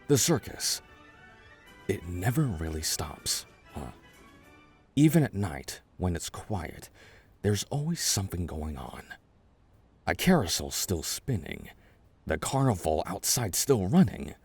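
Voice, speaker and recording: A man voicing a gentle, eccentric older chess king in an ASMR roleplay, reassuring and rambling in a halting way. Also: low-pitched, slightly rough, heavily acted. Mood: wistful, calm.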